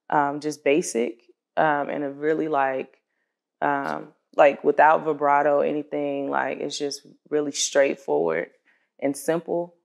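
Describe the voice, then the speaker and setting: A woman with a low voice and a gravelly, creaky edge tells the story behind her work as a singer-songwriter. Her delivery is casual and conversational, like an informal interview, and she voices other people's remarks as she goes. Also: a little mellow.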